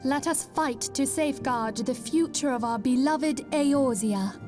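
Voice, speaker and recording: Solemn, slow-spoken fantasy leader. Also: female voice, high voice, music in the background. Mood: earnest, hopeful. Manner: formal, measured, earnest. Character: solemn, gracious woman leading a heroic order. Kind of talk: scripted fantasy game dialogue.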